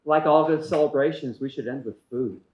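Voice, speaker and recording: A man hosting a memorial gathering who speaks in a relaxed, chatty way as he thanks everyone and invites them to stay. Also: fairly high pitch, fairly quick, dull audio. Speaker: event organizer. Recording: echoey room with background noise.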